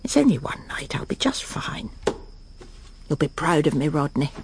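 An actress playing a prim, self-satisfied, controlling middle-aged English wife in a radio drama, brisk and genteel. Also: female voice, low-pitched, sing-song, in a whisper. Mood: brightly stoical. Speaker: lead actress and narrator. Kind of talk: radio drama acting with inner monologue.

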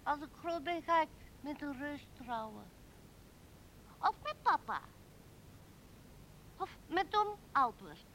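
Een vrouw speelt een dromerig, opschepperig klein meisje dat kinderlijk en zangerig vertelt in een hoorspel. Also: female voice, sing-song, slow pace, long pauses, sharp tone, exaggerated voice. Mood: proud, eager. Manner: childlike, singsong, giggly. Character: dreamy, boastful little girl in love with a giant. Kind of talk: radio drama storytelling. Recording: noisy old radio recording with rumble.

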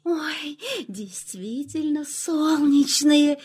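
A woman's voice: high-pitched, very slow, a little mellow. A woman playing a little, admiring, curious ant in a children's audio play. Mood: admiring, amazed.

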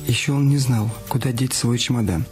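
A man narrates a radio drama. His delivery is scripted literary storytelling. Loud music plays behind him. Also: medium pitch, a little fast.

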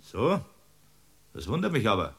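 An actor playing an irascible, impatient village-court judge with a Bavarian accent in a radio drama, blustering and sarcastic. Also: male voice, on the low side, wildly swooping pitch, a little slow, creaky, husky, heavily acted. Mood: dry, official. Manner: blustering, sarcastic, barking orders. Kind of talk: German radio drama acting.

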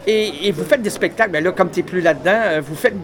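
High-voiced Quebec French man in his fifties. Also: quick pace, a crowd in the background. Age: 50s. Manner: eager, stumbling questions with repeated words. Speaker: radio host. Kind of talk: radio interview.

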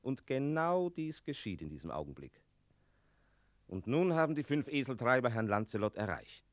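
An actor playing a wry storyteller who narrates a radio play in an explanatory way. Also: male voice, medium pitch, big pitch swings, normal speed, dry recording, natural voice.

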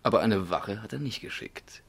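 An actor playing a young, polite, level-headed police sergeant in a radio crime drama, speaking in a courteous, dry, probing way. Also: male voice, lowish voice, normal speed, vocal fry, fairly bright tone, husky, full character voice. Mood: calm, firm.

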